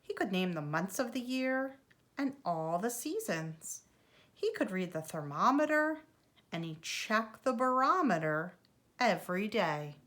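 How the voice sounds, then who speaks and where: A woman preschool teacher reading a children's storybook aloud in an expressive, deliberate way. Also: mid-range voice. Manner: animated, deliberate delivery for young children.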